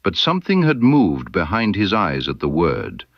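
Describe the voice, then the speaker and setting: A man narrating a scripted literary mystery story in a measured, deliberate storytelling manner. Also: deep voice, wildly swooping pitch, vocal fry, dry recording, lo-fi sound. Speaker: audiobook narrator.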